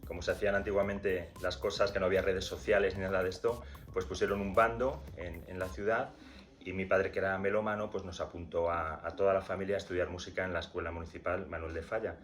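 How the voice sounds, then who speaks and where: A man from Madrid, a corno di bassetto player, answering interview questions haltingly, repeating words as he searches. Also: little pitch movement, soft background music. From Madrid, Spain.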